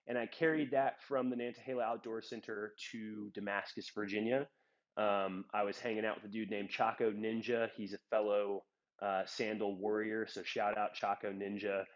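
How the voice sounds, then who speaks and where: A man's voice: fairly low pitch, normal speed. Stuffed-up guy rambling on a lo-fi phone. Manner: relaxed, rambling with many ums. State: slightly stuffed up. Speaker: hiking vlogger. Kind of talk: casual gear talk to camera. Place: in a trail town. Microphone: phone on a trekking-pole clip.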